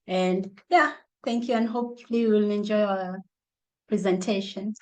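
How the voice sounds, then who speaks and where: Woman, Kenyan accent, average pitch. Student presenter introducing an informal online session. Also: steady tone, moderate pace, poor audio.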